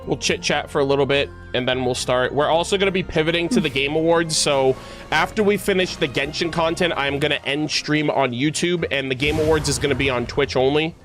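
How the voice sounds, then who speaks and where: Higher-pitched man chatting on a livestream. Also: a little fast, fairly bright tone. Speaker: livestreamer, host. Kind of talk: casual livestream chat. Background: music.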